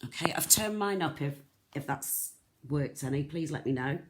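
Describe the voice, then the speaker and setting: A croaky, soft-voiced presenter, chatting through a live beading tutorial with lots of ums and restarts. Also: mid-range voice, expressive, moderate pace, background noise. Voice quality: soft, not loud. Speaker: craft shop tutor.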